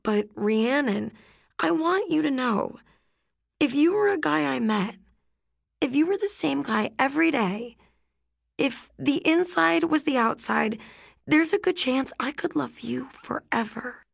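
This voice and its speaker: A woman reading an audiobook, voicing a gentle teenage girl who speaks softly and sounds close to tears. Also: high-pitched, lively intonation, unhurried, gravelly, no room sound, voice pushed up, exaggerated voice. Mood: tender, firm. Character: gentle teenage girl, tender but resolute. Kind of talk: audiobook character voice.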